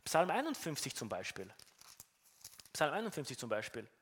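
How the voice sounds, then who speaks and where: A man's voice: medium pitch, wildly swooping pitch, normal speed, a bit bright, slightly rough. A man with a Romanian accent preaching a sermon in an earnest teaching tone, often repeating words as he restarts.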